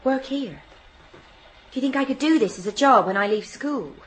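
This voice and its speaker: A woman's voice: high-pitched, lively intonation, moderate pace. A woman playing an eager, persistent sixteen-year-old daughter in a radio drama, with a pushy, hopeful way of asking. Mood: eager, pleading. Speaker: actor in a radio play. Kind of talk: naturalistic radio drama acting.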